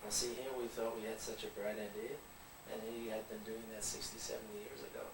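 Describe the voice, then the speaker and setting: A man's voice: lowish voice, level tone, fast, over a noisy crowd. A man interviewing an older relative for a home video, prompting with questions and affirming her answers. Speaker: family interviewer. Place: family home. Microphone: home video camera from across the room. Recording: echoey, distant, noisy home video.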